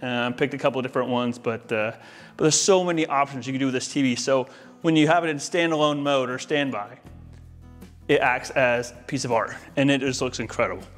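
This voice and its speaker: A man's voice: medium pitch, brisk, soft background music. An American man in his forties giving an enthusiastic, informal walk-through to camera as a home installer. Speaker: home audio-video installer giving a tour. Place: inside a large, mostly empty house.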